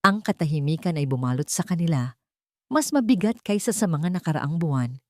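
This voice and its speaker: A woman's voice: low-pitched, lively intonation, fairly quick, dark tone, dry recording, studio quality. A Filipino woman narrating a scripted romantic drama as a storyteller.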